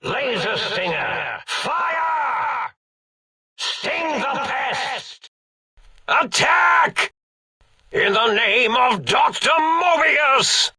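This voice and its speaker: An actor playing a manic, grandiose old mad scientist villain in a video game, gravelly and melodramatic. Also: male voice, extremely high pitch, sing-song, drawn-out words, pushed and bright, raised voice, recorded from a distance, heavily acted. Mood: manic, commanding. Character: manic, theatrical mad old scientist commanding robot scorpions.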